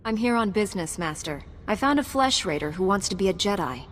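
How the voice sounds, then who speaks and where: A woman's voice: medium pitch, animated, fairly quick, slight background noise, natural voice. A woman voicing a confident young female knight in a video game, direct and matter-of-fact. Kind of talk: video game character acting. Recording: game music behind voice.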